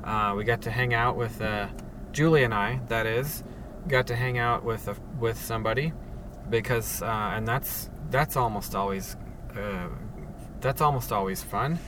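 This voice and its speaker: A man hosting a casual solo podcast from his parked car, speaking in a monotone with many halting fillers and restarts. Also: mid-range voice, recorded from a distance, background noise. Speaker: podcast host. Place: sitting alone in a parked car.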